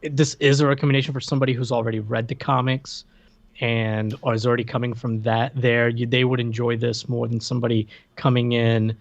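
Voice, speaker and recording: A man's voice: mid-range voice, flat delivery, gravelly. A man co-hosting a podcast in casual chat, talking hesitantly and breaking off mid-sentence. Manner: hesitant, halting, self-interrupting. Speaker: podcast co-host.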